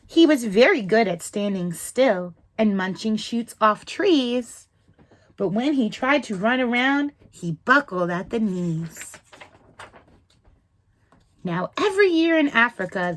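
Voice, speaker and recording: A woman reading a picture book aloud to children, in a slow, animated and expressive way. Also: a little high. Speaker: children's storytime reader.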